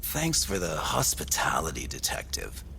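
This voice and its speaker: An actor playing a smug, slippery crime boss in an audio drama, sardonic and mocking. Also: male voice, extremely low pitch, wildly swooping pitch, moderate pace, creaky, whispered, full character voice.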